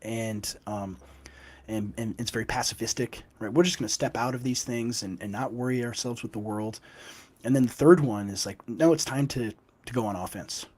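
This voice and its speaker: A young man whose voice is worn rough and husky by a cold, giving it a gruff edge. He speaks as a guest author in a conversational interview, at an everyday middle pitch. He drives his points home emphatically and keeps checking in with "right?". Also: moderate pace, darkish tone. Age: young adult. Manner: emphatic, with frequent "right?" tags. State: hoarse from a cold.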